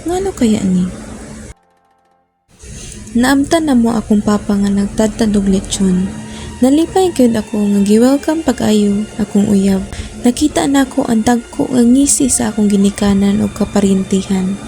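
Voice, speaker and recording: A woman's voice: medium pitch, expressive, measured pace, dark tone. A woman playing a young woman who narrates her own romance in a scripted radio drama. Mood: happy, slightly uneasy. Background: music.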